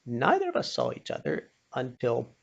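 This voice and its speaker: Gravelly older North American man telling stories. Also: medium pitch, huge pitch swings, moderate pace, slight background noise. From Pennsylvania, United States. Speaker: storyteller. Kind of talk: personal storytelling with a moral. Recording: dull, muffled lo-fi recording.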